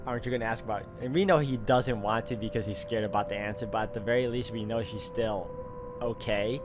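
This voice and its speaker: A man's voice: on the low side, fairly even intonation, fast talker. A man playing through a visual novel, reading its text aloud and adding casual commentary. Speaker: game streamer doing a walkthrough. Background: game music.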